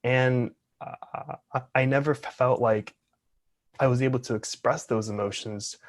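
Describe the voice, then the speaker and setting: Guy in his 20s stuttering a lot, talks real open about it, normal pitched voice. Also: steady tone, a little slow. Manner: stutters, with sound repetitions and blocks; open, earnest. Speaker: interview guest. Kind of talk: casual interview talk.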